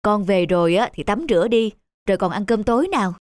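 A woman voicing a gentle, hard-pressed village mother in a cartoon dub, soft, caring and earnest. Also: mid-range voice, a little fast, no echo, clean studio sound, natural voice. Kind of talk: cartoon dubbing.

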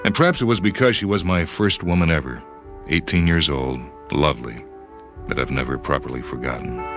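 A man reading a first-person story dramatically, in a brooding, measured manner. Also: rumbling low. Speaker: story reader. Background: background music.

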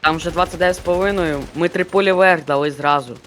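A man's voice: a little high, fast talker, a bit bright, a little hiss or hum. A man leading a squad over game voice chat, repeating orders and swearing. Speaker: squad leader in an online game. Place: online game voice chat, gunfire behind.

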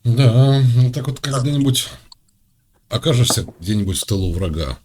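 A man from Russia hosting a chat, needling and mocking the person he talks to in a deadpan way. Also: mid-range voice, normal speed, noisy background. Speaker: host. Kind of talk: mocking video-call chat.

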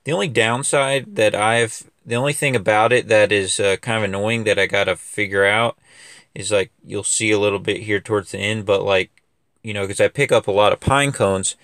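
A man's voice: lowish voice, fairly even intonation, normal speed, fairly bright tone, no echo, clean studio sound. A young man running a lawn care business, chatting casually in a loose, rambling way full of fillers and restarts. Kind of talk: casual equipment review vlog. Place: outdoors in a wooded yard.

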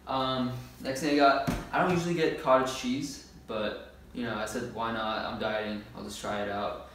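A young man in his early twenties speaks casually and informally as a fitness vlogger. His voice sits at an average pitch, picked up from a distance in a very echoey room with faint noise behind it. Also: level tone, moderate pace, a bit bright. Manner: laid-back, informal talk with slang. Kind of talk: casual grocery haul vlog. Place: bare-walled room indoors. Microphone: camera a few metres away.

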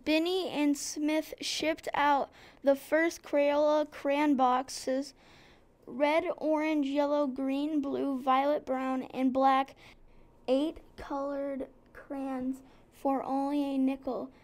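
A high-voiced female reader narrating a children's book from the text. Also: little pitch movement, slow pace, darkish tone. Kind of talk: scripted read-aloud narration.

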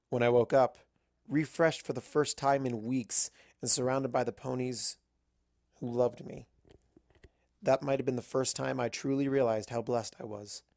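A man's voice: mid-range voice, vocal fry, darkish tone, dry recording, clean studio sound. A man reading a first-person story as a scripted narration. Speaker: fan fiction narrator.

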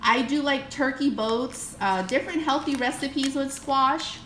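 A bright, slightly high-voiced woman in middle age chats about her groceries, upbeat and full of fillers. She talks into a handheld phone in a home kitchen. The room sounds echoey, with faint background noise. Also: unhurried. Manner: chatty, upbeat, lists items with frequent fillers. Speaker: vlogger. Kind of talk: casual grocery haul chat.

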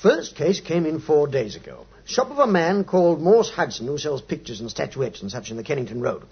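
A man's voice: fairly high pitch, very animated, fairly quick, creaky, slightly rough, exaggerated voice. A man playing a chatty, slightly sheepish English policeman with a colloquial manner in a 1950s radio drama. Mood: relishing the tale. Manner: colloquial, hesitant, chuckling storyteller. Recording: dull 1950s radio recording.

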